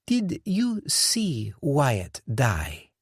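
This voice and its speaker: A man voicing a menacing, sarcastic male crime boss who questions slowly and pointedly. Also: mid-range voice, huge pitch swings, slightly rough, no echo, studio quality, pitched above the performer's own voice, full character voice. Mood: sneering, cold. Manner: slow, pointed, mocking questioning.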